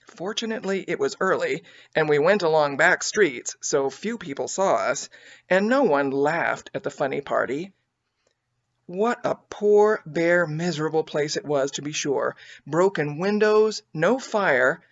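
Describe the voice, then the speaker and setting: A woman reading a children's story aloud as an expressive audiobook narrator. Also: fairly low pitch, dull audio. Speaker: audiobook reader.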